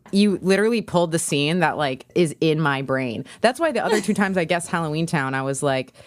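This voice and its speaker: A woman's voice: deep voice, lively intonation, fairly quick, no echo, clean studio sound. A woman chatting casually as a podcast co-host and quiz player. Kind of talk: casual chat.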